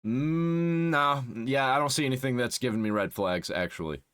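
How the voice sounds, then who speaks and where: A man hosting a reaction video, mocking and swearing, sometimes dropping into a put-on deep voice. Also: normal speed, a bit bright, smooth. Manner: mocking, swings between a squeaky high register and a put-on deep voice; swears freely, reads search results aloud. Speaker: reaction channel host. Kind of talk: casual reaction commentary.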